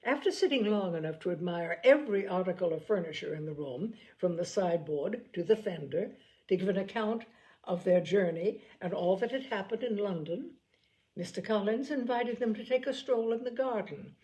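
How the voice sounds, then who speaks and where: A woman reading a classic novel aloud in a measured storytelling manner, now and then correcting a slipped word. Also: mid-range voice, warm tone, rough recording. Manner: measured storytelling, occasional slips and self-corrections. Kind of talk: audiobook narration.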